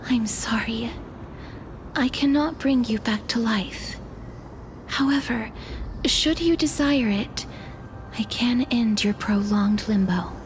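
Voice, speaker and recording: A woman playing a gentle, solemn holy maiden of death in a fantasy game, speaking softly and formally in a measured, melancholy way. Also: on the high side, slight background noise. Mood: sorrowful, apologetic. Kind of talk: dubbed fantasy game dialogue. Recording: orchestral game music behind.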